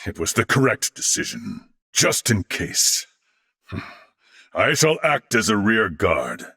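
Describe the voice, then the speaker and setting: A man's voice: fairly low pitch, sing-song, slow pace, bright, forceful tone, heavily acted. An actor playing a formal, disciplined, proud and loyal swordsman in a video game, speaking in theatrical, archaic phrasing. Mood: dutiful, resolute.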